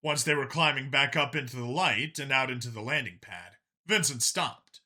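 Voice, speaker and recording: A man's voice: mid-range voice, moderate pace, bright, forceful tone. A man reading a science fiction story as an audiobook narrator, in a dramatic scripted style.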